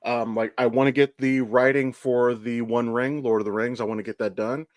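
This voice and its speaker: An American man in his thirties talks as a podcast host in a medium-pitched voice. His delivery is casual, candid and meandering, peppered with swearing. The sound is dry, with very little room. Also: steady tone, normal speed, no echo. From Ohio, United States. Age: 30s. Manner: candid, profane, rambling storytelling. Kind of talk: casual conversational podcast chat.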